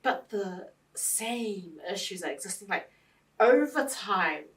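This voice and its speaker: A woman's voice: mid-range voice, expressive, takes it slowly, a bit bright, recorded from a distance. New woman host, off-mic. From New Zealand. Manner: frequent backchannels, restarts when building a point. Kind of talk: studio discussion. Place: TV studio.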